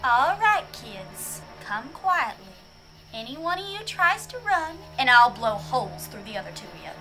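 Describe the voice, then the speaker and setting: A dainty farm wife in a high, bright, very animated voice. She sounds genteel and folksy with sweet menace, as in a radio play, with music behind. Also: moderate pace, exaggerated voice. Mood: coolly menacing. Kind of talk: science fiction radio drama acting.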